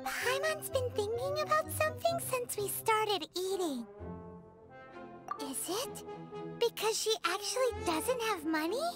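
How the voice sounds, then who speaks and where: A woman voicing a tiny, bubbly floating fairy girl with a squeaky, childlike voice in an anime-style video game. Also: lively intonation, a little slow. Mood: puzzled. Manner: bubbly, refers to self in third person. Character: tiny floating fairy girl companion, chirpy and food-loving. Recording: loud game music behind voice.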